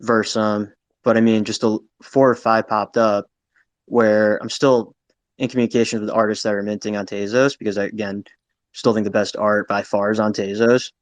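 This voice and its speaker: Man, flat delivery, lowish voice, reflective, informal, casual opinion. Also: moderate pace, no room sound. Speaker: panel participant. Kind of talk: casual opinion in a live audio chat.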